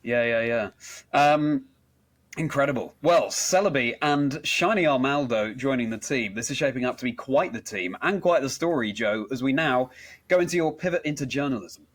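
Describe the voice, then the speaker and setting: A man's voice: mid-range voice, moderate pace, a bit bright. A man hosting a conversational interview, attentive and encouraging as he reacts and asks follow-up questions. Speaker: podcast host, interviewer.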